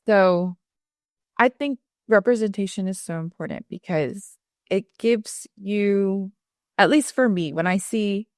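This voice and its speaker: A woman attorney from South Texas talking candidly as an interview guest, earnest and reflective, often pausing with fillers and restarting her sentences. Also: medium pitch, a little mellow, no room sound, studio quality. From Rio Grande Valley, Texas.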